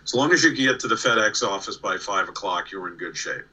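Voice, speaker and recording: Older new york guy on a video call, bright low-ish voice, rambling stories, keeps restarting. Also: lively intonation, fast talker, breathy edge. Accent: faint New York. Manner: chatty, frequent restarts and repeated words. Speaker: interview guest. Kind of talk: casual storytelling. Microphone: remote video call.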